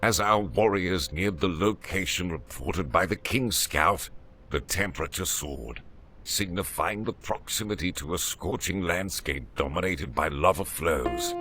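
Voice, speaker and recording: A man's voice: low voice, bright, forceful tone. A man delivering scripted narration for a fantasy game story. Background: music.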